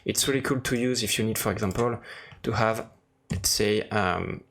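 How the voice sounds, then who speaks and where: A man who speaks English as a second language, presenting an informal software demo in a halting, hesitant way with frequent pauses. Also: lowish voice, vocal fry. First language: non-native English speaker.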